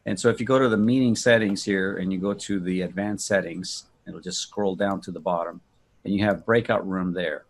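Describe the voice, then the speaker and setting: A man giving a casual software walkthrough to others on a video call. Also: deep voice, steady tone, brisk. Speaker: participant demonstrating software.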